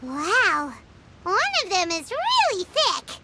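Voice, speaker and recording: A woman voicing a tiny, childlike fairy girl companion in a video game, squeaky, excitable and cheeky. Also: takes it slowly, heavy background noise, full character voice. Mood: excited. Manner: exclaiming, speaks of self in third person. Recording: music playing behind the voice.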